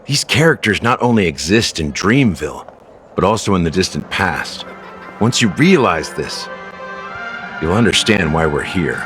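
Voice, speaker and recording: Deep-voiced, world-weary officer. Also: male voice, very animated, a bit bright. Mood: grave, reflective. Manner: measured, dramatic, deliberate storytelling delivery. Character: world-weary male officer, sly, grave storyteller guide. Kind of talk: video game story dialogue. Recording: studio voice over game music. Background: background music.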